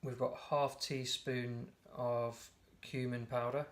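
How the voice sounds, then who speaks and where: A hoarse man casually talking through a recipe, often correcting himself as he goes. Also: medium pitch, takes it slowly. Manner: relaxed, chatty, often corrects himself mid-sentence. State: hoarse, croaky voice. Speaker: home cook presenting a recipe. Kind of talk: casual step-by-step cooking demonstration. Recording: noisy home video recording.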